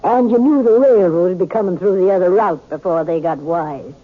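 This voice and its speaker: An actress playing a shrewd, knowing old frontier woman with a husky voice and an amused drawl, in a 1950s radio drama. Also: on the low side, a little fast, lightly acted. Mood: wry. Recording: tinny old radio transcription.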